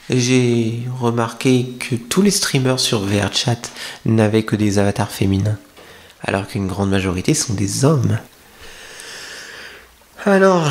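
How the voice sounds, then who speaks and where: A coy young femboy streamer, played by a man, hosts the listener in a maid café roleplay. The delivery is intimate ASMR, playful and teasing, with a confiding way of talking straight to one person. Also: medium pitch.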